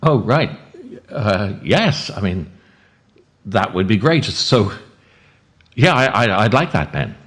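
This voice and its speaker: A man's voice: fairly low pitch, very animated, takes it slowly, long pauses, fairly bright tone, heavily acted. A man voicing his own nagging, guilt-tripping conscience in a comic sketch, hesitant and stammering as he needles. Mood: hesitant, eager. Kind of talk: comedic two-handed sketch dialogue.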